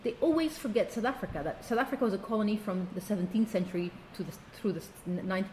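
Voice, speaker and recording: A woman's voice: medium pitch, fast, a little mellow, slight background noise, low-quality recording. Woman from Ethiopia, co-host, searching speech, faint ambience. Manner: searching, restarts words mid-thought. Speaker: podcast co-host. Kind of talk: casual interview chat.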